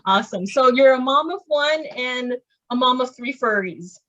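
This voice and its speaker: A woman's voice: high voice, animated, moderate pace, pushed and bright, slight background noise. A woman hosting a video call interview, chatty and a little rambling. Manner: chatty, friendly, rambling with fillers and laughs. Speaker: interview host. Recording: dull lo-fi call audio.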